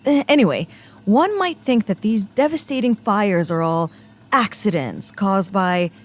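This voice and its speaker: A woman's voice: mid-range voice, lively intonation, measured pace, slight background noise, lightly acted. A woman playing an eager young female agent in training in a comedy audio drama, bossy and keen to lead. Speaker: Mackenzie McGrath.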